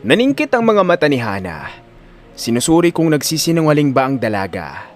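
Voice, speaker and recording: A man's voice: a little high, wildly swooping pitch, a little fast, soft background music. A man with a Filipino accent reading scripted, dramatic story narration.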